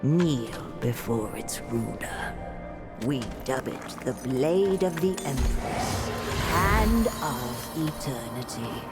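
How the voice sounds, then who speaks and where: A regal empress in a fantasy game. Her voice is very low and moves through wide swells of pitch, slowly handing down ceremonious decrees with an imperious air. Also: expressive, over loud music, full character voice. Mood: regal, approving. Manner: imperious, ceremonious, speaking in royal proclamations. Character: regal eternal empress, imperious female ruler. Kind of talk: theatrical fantasy game acting.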